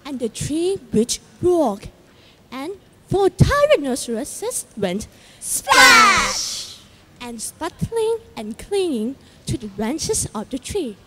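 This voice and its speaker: A young woman narrating a children's story on stage in a dramatic, drawn-out, expressive way. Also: high voice, slight background noise, low-quality recording.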